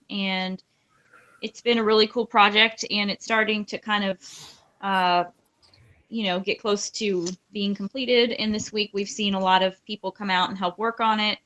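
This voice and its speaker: Woman, podcast panelist, bright crisp-edged tone, average pitch, casual conversation. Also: steady tone, normal speed. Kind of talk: casual chat.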